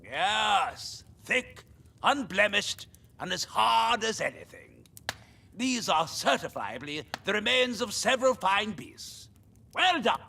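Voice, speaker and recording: An actor playing a boastful, jovial gentleman hunter in a video game, with a pompous, theatrical, blustering manner. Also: high voice, very animated, slow pace, gravelly, full character voice. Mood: excited, pompous. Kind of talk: video game character acting.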